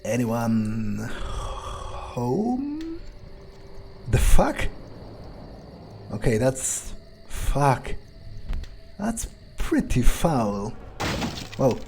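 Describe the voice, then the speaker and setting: A man's voice: mid-range voice, wildly swooping pitch, drawn-out words, vocal fry, long pauses, noisy background, full character voice. A man playing a jaded, foul-mouthed vampire who mutters wry remarks to himself during a video game playthrough. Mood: appalled disbelief. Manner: muttering, wry, swearing often. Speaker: gaming video creator playing in character. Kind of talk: in-character game playthrough monologue.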